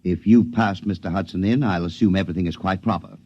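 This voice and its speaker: An actor playing a brisk, skeptical Secretary of State, curt and dryly sardonic, in a 1950s radio drama. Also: male voice, sits low, steady tone, mellow, dry recording. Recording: lo-fi 1950s radio broadcast, dry studio.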